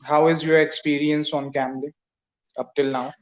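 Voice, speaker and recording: A man's voice: on the high side, level tone, moderate pace, low-quality recording. A man hosting a casual video-call conversation to practise English, asking short, careful questions. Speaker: host, English learner.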